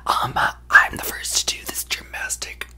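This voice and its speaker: A young man voicing a brash, self-absorbed young female pop star in a breathy, gushing ASMR whisper close to the microphone. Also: unhurried, pushed and bright. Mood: excited. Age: young adult. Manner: gushing, exaggerated, boastful, rambling. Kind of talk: ASMR roleplay, celebrity parody.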